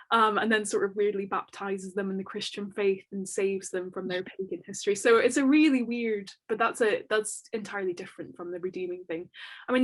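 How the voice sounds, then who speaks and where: Young woman from Northern Ireland with an English accent, informal panel answers, lots of fillers. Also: mid-range voice. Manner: chatty, many fillers, laughs easily. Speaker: doctoral student speaker. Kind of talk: academic panel Q&A.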